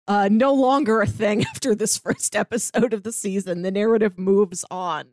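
A woman in casual conversation, picking apart a TV season with an easy, analytical flow and frequent little laughs. Her voice sits at a middle pitch for a woman and comes across dry and close, with no room sound. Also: steady tone, normal speed, darkish tone, dry recording. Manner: chatty, analytical, laughs often. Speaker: podcast co-host. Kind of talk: casual TV recap chat.

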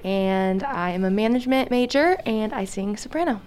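A woman's voice: mid-range voice, normal speed, darkish tone, slight background noise. A young woman from Nebraska, a college singer, giving a brief plain self-introduction in a radio studio. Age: young adult.

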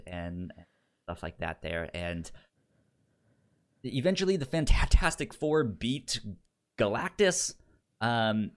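A man co-hosting a podcast in casual recap chat, often stammering and repeating the starts of words. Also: medium pitch. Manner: acts out quotes in playful raised voices. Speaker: podcast co-host.

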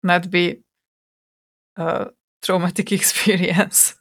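A woman's voice: lowish voice, little pitch movement, slow, gravelly, a bit bright. A woman with a Hungarian accent, co-hosting a podcast from home, chiming in easily and asking her co-host questions. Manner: easygoing, chiming in with short agreements. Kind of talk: casual conversation.

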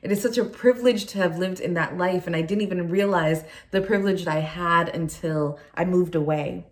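A woman's voice: on the low side, a little fast. A young adult woman youth pastor from Nigeria, raised in California, giving an earnest chapel sermon with prayer and personal stories.

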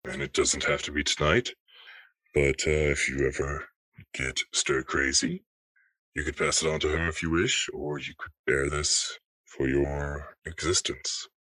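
A man voicing a hooded, faceless reaper who referees the afterlife with calm authority, in measured, formal pronouncements. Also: rumbling low, no echo, exaggerated voice. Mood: calm, explanatory.

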